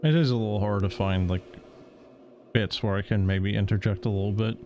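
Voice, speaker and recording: A man giving casual, slightly hesitant commentary as he streams a game, with a creaky voice. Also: on the low side, steady tone, brisk. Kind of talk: casual game commentary, reading on-screen text aloud.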